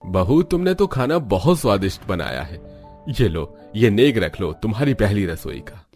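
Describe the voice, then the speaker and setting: An older father-in-law in a cartoon family story, played by a man. His low voice moves up and down in a very lively way, over background music. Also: moderate pace. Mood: approving. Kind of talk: Hindi cartoon family drama voice acting.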